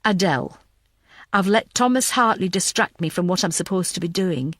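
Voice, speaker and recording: A woman's voice: on the low side, animated, normal speed, a bit bright, dry recording, clean studio sound. A woman narrator playing a weary, tearful female police detective in an audiobook, self-blaming and defensive. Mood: frustrated, self-blaming.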